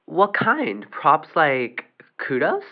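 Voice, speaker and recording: A woman voicing a shy, awkward young man calling a radio show, halting and bashful. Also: low voice, huge pitch swings, lo-fi sound, exaggerated voice. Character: shy, awkward young man nervous about vampire romance.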